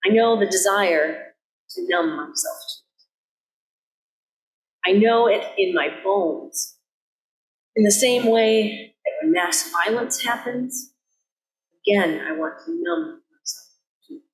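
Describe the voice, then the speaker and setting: A woman minister reading a sermon in an earnest, measured way, with deliberate pauses between phrases. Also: mid-range voice, lively intonation. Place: church sanctuary, indoors. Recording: roomy echo of a sanctuary.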